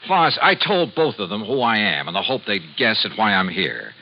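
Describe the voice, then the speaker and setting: A man's voice: lowish voice, sing-song, moderate pace. An American man playing a shrewd, cool insurance investigator in a 1950s radio detective drama, with a wry, clipped, colloquial delivery. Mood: calculating, brisk. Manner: wry, clipped, colloquial, narrates as well as converses. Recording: muffled vintage radio recording, faint music.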